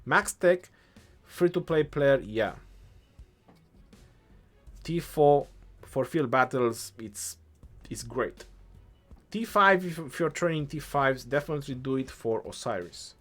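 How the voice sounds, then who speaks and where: A young man with an Eastern European accent, answering chat questions on a game live stream in a relaxed way, thinking aloud as he goes. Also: medium pitch, slow pace, long pauses, soft background music.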